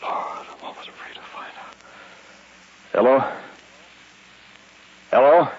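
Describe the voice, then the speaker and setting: A man's voice: medium pitch, takes it slowly. An actor playing a paranoid, desperate man trapped alone in an old radio horror drama, going between muttering and panicked cries. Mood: desperate defiance. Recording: muffled, hissy vintage radio recording.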